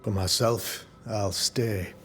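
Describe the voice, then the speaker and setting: Low-voiced, pompous colonial governor. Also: male voice, steady tone, fairly bright tone. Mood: resolute. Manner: formal, pompous, measured. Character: solemn, self-important colonial governor and amateur demonologist. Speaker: governor of a settlement. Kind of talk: video game period drama acting.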